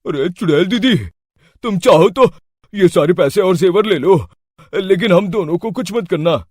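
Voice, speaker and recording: A man playing a frightened village man in a cartoon story, with an exaggerated, excitable comic delivery. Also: high-pitched, measured pace, no echo, studio quality. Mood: nervous pleading. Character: frightened cartoon village men and cowardly thieves.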